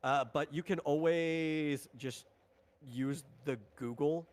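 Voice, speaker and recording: A man's voice: a little high, slow pace, a little mellow. A man talking casually on a livestream as a tabletop game designer, explaining rules in a rambling, informal way.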